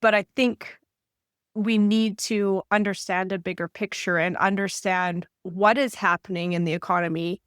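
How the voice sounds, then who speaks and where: A woman's voice: mid-range voice, fairly even intonation, moderate pace, fairly bright tone, smooth, no echo, clean studio sound. A woman hosting a podcast, eager and enthusiastic as she talks with her guest. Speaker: podcast host. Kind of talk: podcast interview.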